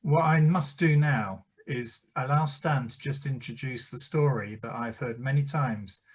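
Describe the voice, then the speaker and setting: A man's voice: medium pitch, measured pace, slightly rough. A man hosting a video chat show, welcoming guests with jovial, wry teasing. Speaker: host. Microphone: video call.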